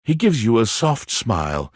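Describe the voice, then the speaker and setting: A man playing a wry, theatrical narrator of a playful dating game, telling scenes in the second person with expressive, dramatic delivery. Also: medium pitch, moderate pace, breathy edge, no room sound, clean studio sound. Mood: gentle storytelling.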